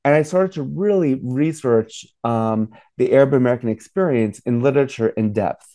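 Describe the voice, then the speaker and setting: Man, 40s, from Saudi Arabia, author guest, mellow, meandering with fillers. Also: medium pitch, normal speed. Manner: rambling, many fillers and restarts. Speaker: author and professor. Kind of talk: interview guest.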